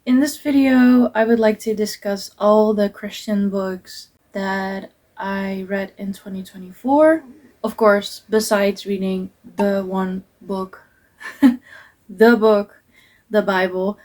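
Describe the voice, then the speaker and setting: A woman's voice: medium pitch, fairly even intonation, slow pace, a little mellow. A young woman whose first language is Dutch, talking through her book picks in an earnest, hesitant way with many ums. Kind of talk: casual book review vlog.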